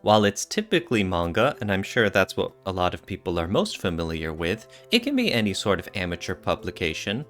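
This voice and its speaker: Guy with a lowish lively voice chatting on a podcast, kinda working it out as he goes, music behind. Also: brisk, few pauses. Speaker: podcast co-host. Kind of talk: casual explanatory podcast discussion.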